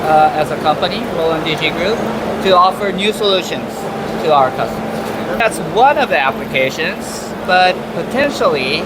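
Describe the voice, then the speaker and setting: A man from Japan, speaking English as a second language, talking on a panel at a trade event in a halting way with many pauses. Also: high voice. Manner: hesitant, many pauses and uhs. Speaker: company executive. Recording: loud background noise.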